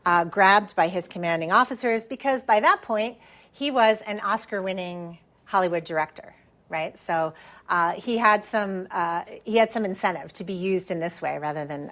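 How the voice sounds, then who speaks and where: A woman's voice: medium pitch, fairly bright tone. American woman professor giving a conversational lecture. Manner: explanatory, with frequent check-in tags like "right?".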